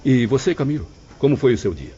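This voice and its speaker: Man acting a mellow, kindly patient spirit. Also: mid-range voice, big pitch swings, fast, natural voice. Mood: kindly, inquiring. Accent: Brazilian. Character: kindly, patient male spirit comforting the suffering. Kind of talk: dramatized audiobook acting. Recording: dull audio, faint insect ambience.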